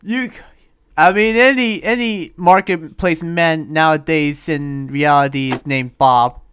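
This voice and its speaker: A man's voice: on the high side, takes it slowly, fairly bright tone, a little hiss or hum. A man joking casually while gaming, in a playful, rambling way.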